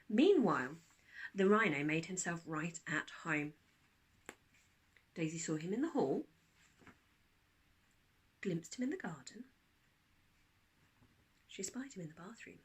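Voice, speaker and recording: A woman narrating a children's storybook like a teacher reading aloud, expressive, with dramatic pauses. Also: mid-range voice, husky.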